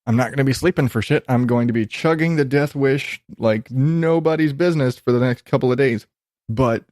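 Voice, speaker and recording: A man's voice: mid-range voice, a little mellow, dry recording, clean studio sound. A man hosting a solo podcast, talking casually and informally in a self-deprecating, sweary, rambling way. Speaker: podcast host.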